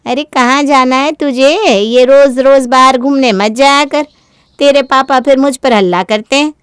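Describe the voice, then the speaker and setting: Woman playing a clear-voiced, fretful scolding mother. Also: high voice, fairly even intonation, unhurried, no echo, clean studio sound. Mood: nagging. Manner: fretful, admonishing. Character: worried, scolding middle-aged Indian mother of a grown daughter.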